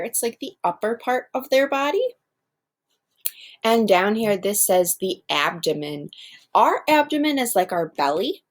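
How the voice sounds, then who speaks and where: A woman teaching first graders in a read-aloud lesson, explaining step by step and speaking directly to her pupils. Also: mid-range voice.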